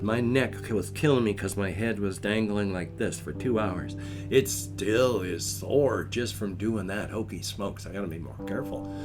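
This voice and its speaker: Brief: older male vlogger, stuffy nasal and wheezy, lowish voice, rambling and wry, guitar music behind. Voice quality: nasal, congested. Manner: rambling, self-mocking with flat "yay"s. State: wheezy from a cold. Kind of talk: casual solo vlog. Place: at home in his chair.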